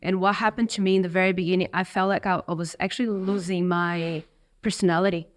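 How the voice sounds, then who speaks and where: A woman's voice: fairly low pitch, fairly even intonation, fast. A woman from Brazil with a Brazilian Portuguese accent, a business owner speaking reflectively and expressively as an interview guest. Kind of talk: interview conversation.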